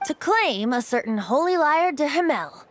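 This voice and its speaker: A woman's voice: high-pitched, very animated, moderate pace. A woman voicing a lilting young male bard. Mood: playful. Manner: lilting, teasing, then soft and solemn. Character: youthful male bard, playful, secretive, boastful. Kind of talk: video game cutscene voice acting. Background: game soundtrack music.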